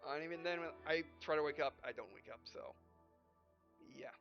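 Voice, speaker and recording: Guy with a high voice reading out game lines, stumbles a lot, dull mic, music behind him. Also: brisk, gravelly. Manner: rambling, stumbling over read lines, filler syllables. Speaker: gaming video host. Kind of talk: let's play, reading visual novel dialogue aloud with asides.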